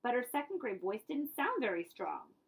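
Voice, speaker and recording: Very animated woman reading a storybook. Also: a little high, normal speed, creaky, slightly rough. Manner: animated, dramatic storytelling emphasis with friendly asides. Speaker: librarian reading aloud. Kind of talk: expressive children's picture book read-aloud.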